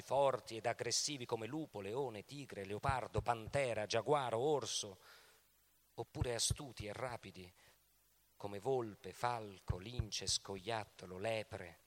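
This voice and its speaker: A man giving dramatic scripted narration for radio theatre, speaking in a measured, deliberate way. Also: medium pitch. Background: music, plucked guitar.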